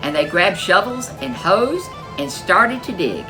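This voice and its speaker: A woman reading a folk tale aloud as a storyteller, expressive and animated. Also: fairly low pitch, a little slow, over music, poor audio. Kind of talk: storybook read-aloud narration.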